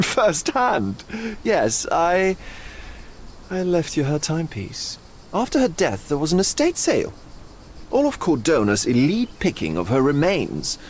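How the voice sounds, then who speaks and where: Genteel, wildly lilting art dealer. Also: male voice, fairly high pitch, a little hiss or hum. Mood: confiding. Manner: florid, teasing, drawn-out phrasing. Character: genteel, theatrical older male art dealer, probing and sly. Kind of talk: video game cutscene acting.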